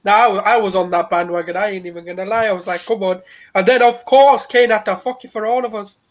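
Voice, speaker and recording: High-voiced English man, slangy banter. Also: fairly even intonation, quick pace. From England. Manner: loose, slangy, teasing. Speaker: co-host. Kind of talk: casual football banter.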